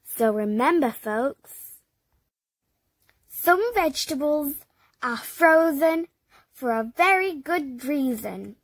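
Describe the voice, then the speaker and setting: Lady reading a kids storybook real slow, breathy, big sing-song swoops. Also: dry recording. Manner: slow and expressive, jumps up high for dramatic cries. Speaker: storybook reader. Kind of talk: children's read-aloud narration.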